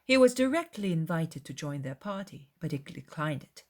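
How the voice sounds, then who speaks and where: A woman reading scripted literary narration as an audiobook narrator, in a measured, formal storytelling manner. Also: deep voice, sing-song, a little mellow.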